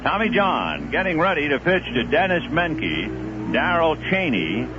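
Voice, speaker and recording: A man calling a baseball game play by play on radio, with a relaxed storytelling delivery that rises sharply on big plays, heard from an old 1970s broadcast. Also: on the high side, a little slow, muffled recording, music in the background. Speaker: play-by-play announcer. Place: broadcast booth at a stadium.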